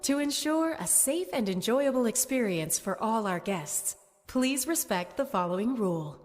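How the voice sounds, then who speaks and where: An actress playing a strict, falsely pleasant theme-park announcer giving measured rules in a long reverb. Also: female voice, a little high, lively intonation, a little mellow, close to an everyday voice. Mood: pleasantly strict. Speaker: public announcer. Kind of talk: scripted park safety announcement. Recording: long hall reverb, faint music.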